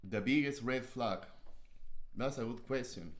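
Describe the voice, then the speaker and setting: A man's voice: mid-range voice, measured pace, echoey room. Joking man with a strong Peruvian accent. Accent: Peruvian Spanish. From Peru. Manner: animated, joking, boastful. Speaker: guest. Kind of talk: casual livestream chat.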